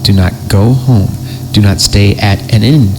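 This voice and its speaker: A man's voice: lowish voice, a little slow, noisy background. A man hosting a podcast, reading creepypasta horror stories in a comedic dramatic style with wry joking asides, over music.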